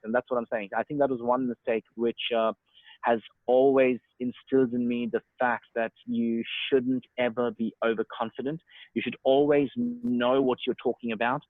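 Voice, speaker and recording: A man's voice: mid-range voice, no echo. A man in his thirties, born in India, speaking with an Australian accent as an interview guest, talking freely with many fillers and restarts. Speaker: interview guest, sales and marketing professional. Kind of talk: casual online interview. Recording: tinny phone-like call audio, dry.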